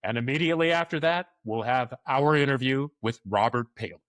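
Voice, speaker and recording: A man hosting a sports podcast, reading announcements and introducing a guest in an upbeat, smooth way. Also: mid-range voice, animated, brisk, a bit bright, dry recording. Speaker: podcast host. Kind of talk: scripted podcast announcements and interview.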